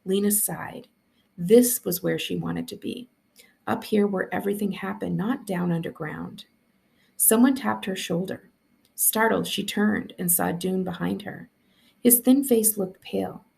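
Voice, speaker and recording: Female school librarian, storybook narration, mid-pitched voice with heavy vocal fry. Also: measured pace, a little mellow. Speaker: school librarian reading aloud.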